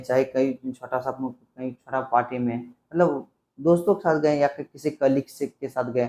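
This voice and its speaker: A man's voice: medium pitch, darkish tone. A man giving a spoken English lesson, explaining step by step and repeating example phrases. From Bihar, India. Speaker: English teacher.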